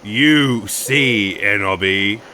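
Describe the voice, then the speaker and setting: A man's voice: lowish voice, drawn-out words, pushed and bright, a little hiss or hum, exaggerated voice. A man playing a cranky, haughty old wizard headmaster in a parody cartoon. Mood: lofty.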